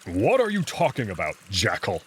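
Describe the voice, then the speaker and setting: A man's voice: on the low side, wildly swooping pitch, slight background noise. A man voicing a big, proud, protective wolf in a visual novel game, curt and guarded in manner. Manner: curt, guarded, slow and firm.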